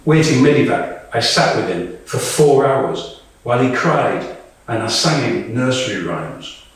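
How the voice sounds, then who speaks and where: A man performing a dramatic monologue as a candid, war-weary woman soldier, with deliberate, emphatic phrasing and pauses. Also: mid-range voice, animated. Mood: grim, sorrowful. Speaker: actor reading a monologue. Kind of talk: live dramatic monologue reading. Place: small live reading indoors. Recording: echoey room, distant microphone.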